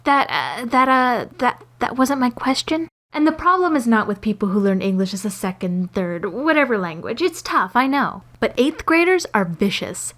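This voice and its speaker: A woman with a fairly high voice tells scripted comic stories. Her delivery is sarcastic and given to exaggeration. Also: animated, moderate pace, hardly any pauses, a bit bright. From Canada. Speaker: storyteller and animator. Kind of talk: scripted comedic storytelling narration.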